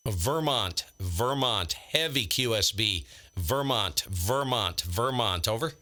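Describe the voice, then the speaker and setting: A man's voice: on the low side, lively intonation, takes it slowly, bright, forceful tone. An American man live-streaming ham radio with casual, blunt, slangy commentary and radio calls. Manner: blunt, slangy, exasperated grumbling.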